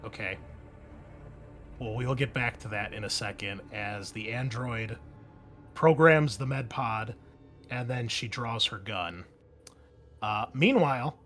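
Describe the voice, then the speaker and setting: A man with a mid-pitched voice acts as game master, describing scenes and rules to his players. His delivery is informal and improvised. Faint music plays underneath. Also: takes it slowly, long pauses, fairly bright tone. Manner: casual, informal. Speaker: game master of a tabletop roleplaying game.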